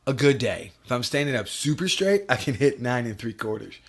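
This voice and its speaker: A man's voice: mid-range voice, sing-song, brisk. A man in his forties hosting a podcast, chatting casually with a profane, self-deprecating humor. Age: 40s. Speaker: podcast host. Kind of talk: casual chat.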